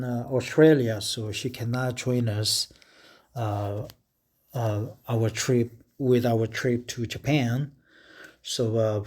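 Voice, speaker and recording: Korean guy in his 50s, talks slow in english, lots of uh and restarts, just casual diary vlogging. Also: medium pitch, darkish tone. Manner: hesitant, many filler sounds. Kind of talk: casual spoken diary with reading aloud.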